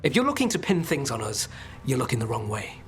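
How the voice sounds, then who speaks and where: A man's voice: medium pitch, big pitch swings, a little fast, faint music behind. A man playing a wary, streetwise criminal who bargains bluntly and persuasively in a fantasy video game. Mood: wary, insistent.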